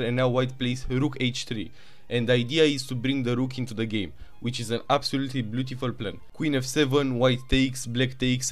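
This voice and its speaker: A man with a Romanian accent narrating chess games move by move, with excited asides. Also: medium pitch. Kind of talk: chess game commentary.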